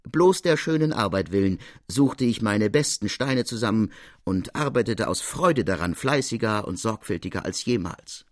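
Haughty eccentric goldsmith, guy acting him out, formal old-time talk, swingy pitch, storybook reading. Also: lively intonation, moderate pace, natural voice. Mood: proud, insistent. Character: proud, eccentric male master goldsmith of old Paris.

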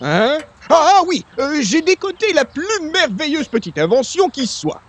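Excitable high-pitched Quebec gadget peddler. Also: male voice, moderate pace. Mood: amused, flustered. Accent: Quebec French. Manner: theatrical salesman patter. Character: excitable, boastful male gadget peddler pitching silly inventions. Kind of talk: old-time radio comedy acting.

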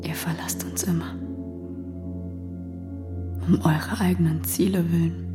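An actress playing a grieving, bitter woman abandoned by her lover, in a halting radio-play monologue that often sinks towards a whisper. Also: fairly low pitch. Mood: bitter grief.

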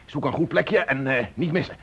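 An actor playing a tense, resolute army sergeant in a radio drama, giving urgent commands. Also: male voice, a little high, moderate pace, close to an everyday voice. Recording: dull, noisy old radio play with clicks.

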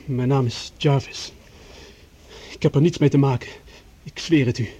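A man's voice: mid-range voice, fairly even intonation, moderate pace, mellow, breathy edge, lightly acted. An actor playing a panicked, defensive young former assistant in a radio drama, pleading and stammering as he protests. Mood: frightened, defensive. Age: young adult.

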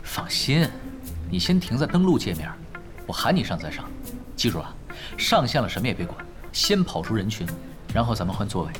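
Young male gamer tactician, unruffled and cocky, fast and lively delivery over music. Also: fairly low pitch. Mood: calm instructing. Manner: unruffled, teasing, self-assured. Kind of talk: Chinese audio drama acting.

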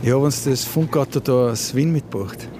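An actor playing an edgy, defensive amateur hostage-taker with an Austrian accent and a gruff colloquial manner in a radio drama. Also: male voice, medium pitch, brisk, background noise, natural voice.

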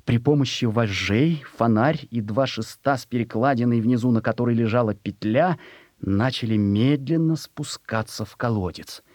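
Husky male storybook narrator. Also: mid-range voice, normal speed, a little mellow, no room sound, studio quality.

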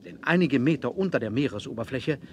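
A man acting as a mysterious, proud submarine captain in a vintage radio play. He speaks at a middle pitch, with formal courtesy and complete self-assurance. The old vinyl transfer carries clear noise. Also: animated, quick pace, close to an everyday voice. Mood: proud, assured. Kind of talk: 1970s German adventure radio play acting.

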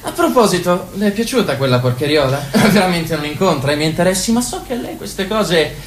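A man's voice: sits high, animated, brisk. A man acting a smug, manipulative party official in a stage drama, insinuating and amused. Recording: roomy echo with faint noise.

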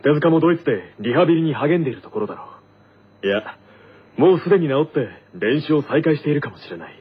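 A man's voice: a little high, animated, quick pace, husky, lo-fi sound. A man acting as an earnest, stern teenage school tennis captain in a radio drama skit, speaking in commanding declarations. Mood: brisk, then baffled. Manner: declarative, commanding, self-serious.

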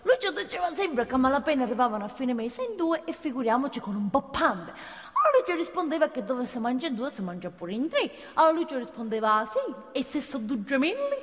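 An actress playing a fond, plain-spoken gossip, telling a story haltingly in a theatrical monologue. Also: female voice, high voice, sing-song. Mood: confiding. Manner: halting, folksy, with frequent tag questions. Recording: hall reverb, faint music behind.

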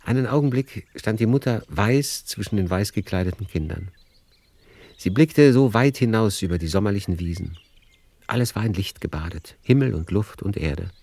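A man with a deep voice narrates a radio play as a literary storyteller. His delivery is measured and lyrical, recorded close and dry with no room sound. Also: expressive, gravelly, darkish tone, no echo.

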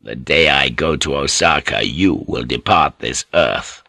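A man voicing a cold, ruthless Japanese feudal warlord in an audiobook, curt, commanding and deliberate. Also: low-pitched, pushed and bright, dry recording, studio quality, pitched below the performer's own voice, full character voice. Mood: cold, menacing. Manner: curt, menacing, slow and deliberate. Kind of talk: dramatic audiobook character reading.

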